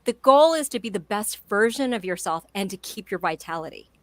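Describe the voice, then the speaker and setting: A woman's voice: mid-range voice, expressive, a little fast, a bit bright, no room sound. A woman in her forties speaking as a guest in an interview, emphatic and enthusiastic in a motivational way. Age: 40s. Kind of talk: conversational interview.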